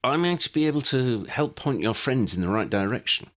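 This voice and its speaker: A man voicing a measured, well-mannered young male wizard with a polite, tentative manner in an audiobook. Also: medium pitch, animated, a bit bright, voice pushed up, lightly acted. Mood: helpful.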